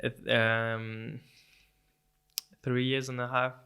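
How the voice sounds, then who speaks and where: A man's voice: mid-range voice, level tone, slow, sharp tone, clear, resonant tone. A young man from France, a native French speaker, chatting casually as a guest and talking hesitantly with many fillers and restarts. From France (Alsace). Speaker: videographer guest. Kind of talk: casual chat.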